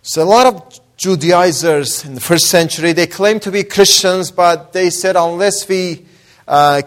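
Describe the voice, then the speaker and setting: Man preaching with a mixed Azeri-Persian-Australian accent. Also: high-pitched. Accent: Azeri, Persian and Australian mix. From Azerbaijani-Iranian background. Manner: builds from soft opening to raised emphatic preaching; hesitant fillers between phrases. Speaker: guest preacher. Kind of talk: sermon with scripture reading and prayer.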